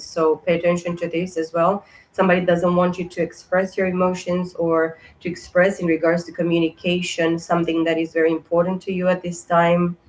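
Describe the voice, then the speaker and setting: A woman with a hoarse, fading voice giving a casual tarot reading, talking haltingly with many fillers and restarts. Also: sits low, low-quality recording. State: hoarse, losing her voice. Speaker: tarot reader.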